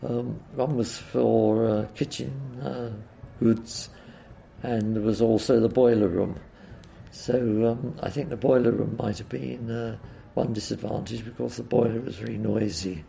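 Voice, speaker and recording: An older man with a deeply gravelly, croaky voice reminisces slowly and haltingly, filling pauses with uhs and ums. His tone is dark and mellow, with a faint hiss of background noise. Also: fairly even intonation. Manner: hesitant, full of uhs and ums. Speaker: interviewee. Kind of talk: oral history reminiscence.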